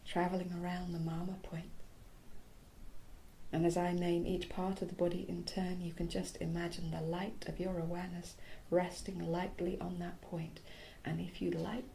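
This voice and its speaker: A woman leads a guided meditation in a low, soft voice with very little rise and fall. She speaks slowly in short, spaced phrases, soothing and hushed. There is a faint layer of background noise. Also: flat delivery, vocal fry, rough recording. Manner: short phrases with long pauses. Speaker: yoga nidra guide.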